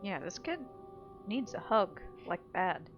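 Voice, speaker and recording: A woman's voice: medium pitch, sing-song, takes it slowly, dull audio, faint music behind. A woman giving casual, informal game commentary in musing asides. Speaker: gameplay commentator.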